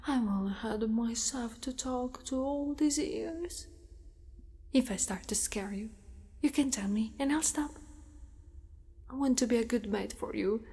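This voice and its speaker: A woman playing a possessive, doting female spider monster in an ASMR roleplay, speaking softly and breathily, often in a whisper. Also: on the high side. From Italy. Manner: soft, breathy, often whispered, slow and coaxing. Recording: long cavernous reverb.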